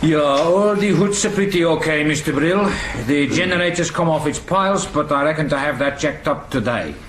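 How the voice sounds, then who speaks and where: A man's voice: on the high side, moderate pace, a bit bright, lightly acted. A man acting a practical, unfussy engineer in an audio drama, laconic and matter-of-fact. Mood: reassuring. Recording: roomy, slightly noisy recording.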